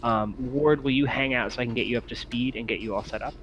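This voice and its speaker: A man's voice: mid-range voice, steady tone, fast talker, a bit bright. A man running a tabletop role-playing session as game master, talking in a casual, hedging, informal way over a voice call. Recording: muffled audio with clear background noise.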